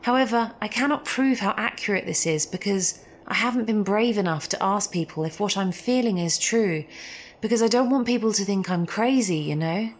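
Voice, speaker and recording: A woman's voice: medium pitch, moderate pace, slight background noise. A woman reading a first-person story as a scripted narrator. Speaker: narrator reading listener stories.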